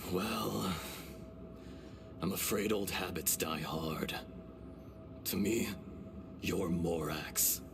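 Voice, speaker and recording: A man voicing the gentle, resigned remnant of an ancient dragon in a fantasy game, speaking formally and with measured solemnity. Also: low voice, steady tone, vocal fry, full character voice. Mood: weary, fond. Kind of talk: scripted fantasy game voice acting. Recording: loud music behind the voice.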